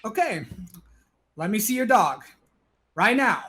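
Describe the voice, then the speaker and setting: Fussy, accusing neighbor played by a man, high voice, sarcastic complaints in a stage comedy. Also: big pitch swings, slow, pushed and bright, heavily acted. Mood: annoyed, accusing. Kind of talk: comedic stage play acting.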